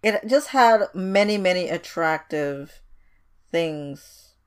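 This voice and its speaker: A woman's voice: on the low side, animated, slow pace, smooth. A woman from Indonesia co-hosting a podcast, chatting informally and repeating words for emphasis. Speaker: podcast co-host. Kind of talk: casual conversational chat.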